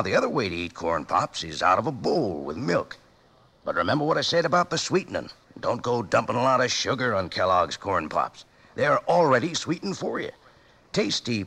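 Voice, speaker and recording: A man playing a jolly old cowboy pitchman in a 1950s radio advertisement, raspy, with an American Western drawl. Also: a bit bright, heavily acted. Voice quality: raspy, gravelly.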